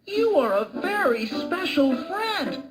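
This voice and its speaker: An earnest male cartoon wolf acted by a woman speaks in a high, lively voice that swings up and down. The delivery is unhurried yet flustered, full of hesitant protests, with music playing behind. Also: exaggerated voice. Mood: flustered, protesting. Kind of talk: animated cartoon dialogue.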